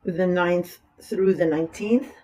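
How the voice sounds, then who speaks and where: An astrologer with a raspy, hoarse voice from a cold, talking through a horoscope in a loose, rambling way, with the audio sped up. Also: mid-range voice, steady tone, measured pace, a little mellow. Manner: rambling, talks loosely with asides. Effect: audio sped up in editing. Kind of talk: casual horoscope reading.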